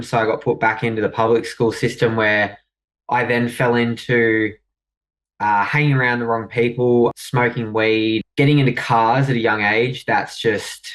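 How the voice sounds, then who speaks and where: A young Australian man tells his own story as a guest, reflective and hesitant, with fillers and restarts. His voice sits at an ordinary middle pitch. He sounds a little away from the mic. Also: a bit bright, distant mic, rough recording. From New South Wales, Australia. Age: young adult. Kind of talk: personal story in conversation.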